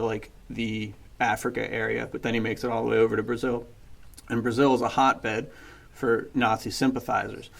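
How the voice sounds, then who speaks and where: A man's voice: mid-range voice, gravelly. A man chatting casually about books as a podcast co-host, in an enthusiastic, slangy and informal way. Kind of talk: casual book chat.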